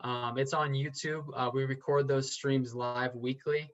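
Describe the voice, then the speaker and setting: A man's voice: medium pitch, flat delivery. Earnest man, guest on a podcast. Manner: earnest, halting, many fillers and restarts. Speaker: podcast guest, real estate investor. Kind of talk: casual interview chat. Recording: slightly dull lo-fi sound.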